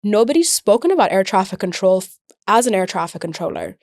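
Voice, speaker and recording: A woman's voice: mid-range voice, big pitch swings, fast talker, darkish tone, dry recording. Chatty young woman from the UAE, very dry sound. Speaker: podcast guest, air traffic controller and comedian. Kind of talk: casual conversational storytelling.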